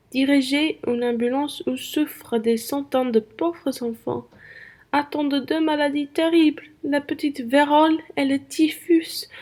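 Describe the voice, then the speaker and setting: A young woman playing a pious, earnest nun in a French radio play, speaking solemnly and deliberately. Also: sits high, a little mellow. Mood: grave. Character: pious, earnest nun devoted to nursing soldiers.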